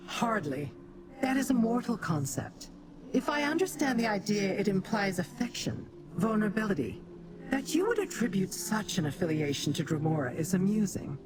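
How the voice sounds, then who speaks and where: A woman voicing a proud female demon warrior in a fantasy game, with a formal, imperious, deliberate manner. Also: medium pitch. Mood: amused disdain. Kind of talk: fantasy game quest dialogue. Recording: clear background noise, game ambience.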